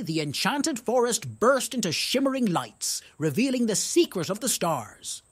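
A storyteller narrating a children's tale in a playful, animated way with exaggerated emphasis. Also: mid-range voice, slightly rough, no echo.

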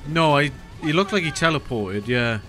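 A man's voice: fairly high pitch, expressive, moderate pace, a bit bright. A man playing a co-op video game, chatting casually and reacting with exclamations and swearing. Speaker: video game player in a co-op playthrough. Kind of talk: casual gaming chat, reacting to play. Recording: game music behind the voice. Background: video game music.

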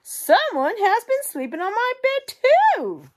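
Woman doing a shrill fussy Mama Bear. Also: sing-song, measured pace, exaggerated voice. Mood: shocked. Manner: high and shrill, exclaiming. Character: fussy mother bear, female, medium-sized.

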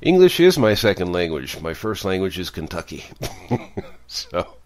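A man's voice: sits low, big pitch swings, normal speed, a little mellow. A man hosting a radio interview show, introducing his guest in an upbeat way.

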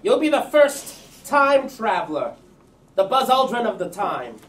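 A man's voice: high-pitched, sing-song, measured pace, a bit bright, exaggerated voice. A man playing a pompous, eager inventor professor in a staged screenplay reading. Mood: grandly persuasive. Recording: distant stage mic, faint noise.

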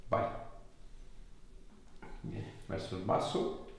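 A man in his thirties, a chiropractor, talking a patient through a hands-on session in an informal, reassuring way. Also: slow. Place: small treatment room indoors. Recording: very echoey small room, faint noise.

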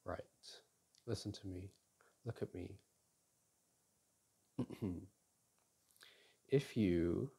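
A young man speaks close to the mic in a soft, teasing boyfriend roleplay. His voice is gravelly, husky and warm, and he talks in an unhurried drawl. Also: low-pitched. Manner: soft and teasing, with frequent sighs and chuckles. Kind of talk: intimate ASMR roleplay, speaking to an unseen partner. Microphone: close microphone.

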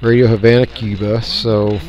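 A man talking through a software tutorial step by step in an unscripted, folksy way. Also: medium pitch, little pitch movement, moderate pace, rough recording. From United States. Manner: unscripted, folksy, explaining each click. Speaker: radio hobbyist giving a tutorial. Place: indoors, voice-over for a screen recording.

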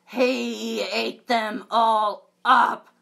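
A woman voicing a fierce, suspicious little bunny sister from a picture book, with loud, emphatic, drawn-out threats. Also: fairly high pitch, steady tone, vocal fry, heavily acted.

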